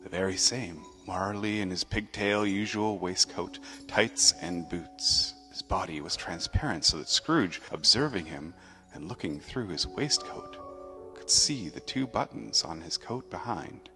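A man reads a classic tale as a dramatic narrator. His voice is very low yet forceful, measured and emphatic. It rings in a long reverb. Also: expressive. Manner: theatrical, measured, emphatic storytelling. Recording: long reverb, music underneath.

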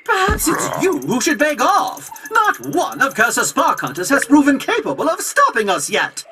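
A plucky robot sidekick speaks in a fairly high voice that swings widely in pitch. The delivery is articulate, wry and protective, as in a video game cutscene. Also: female voice, huge pitch swings, normal speed, noisy background. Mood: defiant. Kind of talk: scripted video game dialogue. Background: game music.

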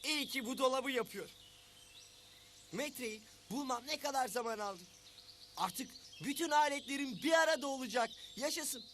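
A man's voice: very high voice, normal speed, a little hiss or hum. A man voicing a busy, flustered animal handyman in a children's cartoon, talking expressively to himself. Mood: pleased. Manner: expressive, talks to self.

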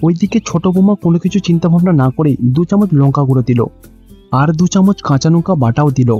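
A man's voice: on the high side, expressive, quick pace, mellow, husky. A man with a Bengali accent reading scripted narration for a cartoon story. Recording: dull, lo-fi audio with music behind.

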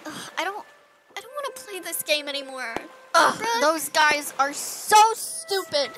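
A woman playing an excitable, chatty schoolgirl in an amateur voiced roleplay drama. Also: high voice, normal speed. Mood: indignant. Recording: music behind the voice.

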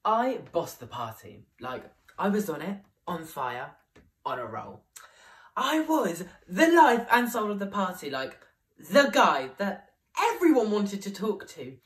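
A young man playing an excitable young man who tells a rambling story about a party, full of "like". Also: high-pitched, unhurried, heavily acted. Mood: buoyant. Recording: voice picked up from a distance.

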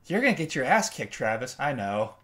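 A man's voice: mid-range voice, big pitch swings, fairly quick, fairly bright tone. A young man casually commenting on a video game as he plays, joking and swearing freely. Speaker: gameplay commentator. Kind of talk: let's play commentary. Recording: voice over game music.

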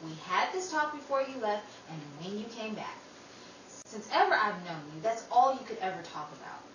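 A woman's voice: on the high side, animated, normal speed, fairly bright tone. A woman playing a sensible, protective working sister in an old-time radio style drama, motherly and emphatic. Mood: firm. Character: Emily, sensible, protective young working sister, firm peacemaker. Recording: roomy, distant mic, noisy.

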